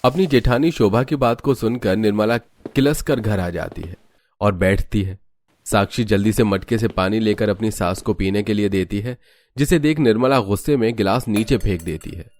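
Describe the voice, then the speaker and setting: A man's voice: lowish voice, normal speed, darkish tone, a little hiss or hum. A man narrating a scripted cartoon story.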